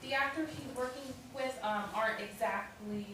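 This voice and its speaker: In a noisy, very reverberant room, a woman plays a courteous young houseguest in a stage drama. She has a fairly high voice and speaks earnestly, with apologetic hesitation. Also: moderate pace, natural voice. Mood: polite, apologetic. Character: polite, career-minded young woman visiting boyfriend's family. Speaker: actor in a staged play reading. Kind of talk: staged reading, naturalistic dialogue. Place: indoor staged reading, recorded from afar. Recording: echoey room, distant mic, clear noise.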